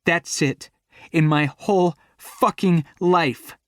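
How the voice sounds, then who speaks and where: A man reading an audiobook voices a defiant, hurt young woman who shouts at her partner, her voice cracking. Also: mid-range voice, slow pace, husky, dry recording, clean studio sound, voice pushed up. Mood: furious.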